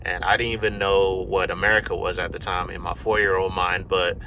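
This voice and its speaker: A middle-aged man from Ghana, telling his life story to camera in a relaxed, rambling way, full of fillers and restarts. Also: sits low, a little fast, slight background noise, poor audio. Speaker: YouTube vlogger. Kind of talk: casual personal storytelling to camera.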